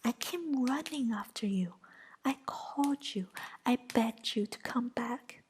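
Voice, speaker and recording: A woman voicing a furious, shrill young witch, whispering her lines in a higher pitch. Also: lively intonation, slow, slight background noise.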